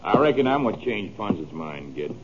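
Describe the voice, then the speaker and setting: An actor playing a sullen, taunting ranch hand in an old radio western. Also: male voice, lowish voice, big pitch swings, normal speed. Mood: sneering. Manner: taunting, surly. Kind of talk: 1950s radio western acting. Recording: muffled, very noisy old radio.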